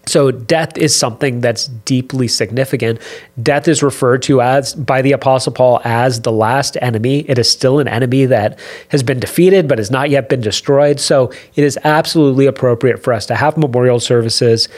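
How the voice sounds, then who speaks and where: Guy in his 40s, bit raspy from a cold, earnest podcast host, mid-pitched, keeps restarting sentences. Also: moderate pace, a little mellow. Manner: earnest, thoughtful, with frequent restarts and fillers. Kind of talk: solo podcast talk.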